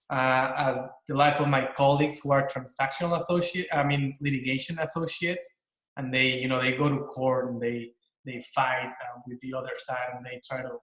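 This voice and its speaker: A man from Mexico, speaking English with a Mexican accent, walks students through his work as a corporate attorney. His voice sits at a middle pitch, and he talks in an earnest, explanatory way with many fillers and restarts. The room around him rings with noticeable echo. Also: fairly bright tone. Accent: Mexican Spanish. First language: Spanish. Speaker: corporate attorney, panel guest. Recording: echoey room.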